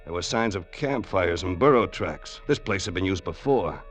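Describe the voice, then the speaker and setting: A man's voice: deep voice, lively intonation, moderate pace. An actor playing a tough, wisecracking crook who narrates his story colloquially in old radio drama style. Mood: weary, tense narrating. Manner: colloquial, hard-boiled. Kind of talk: 1940s-50s radio acting, first-person narration. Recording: vintage radio drama recording with music.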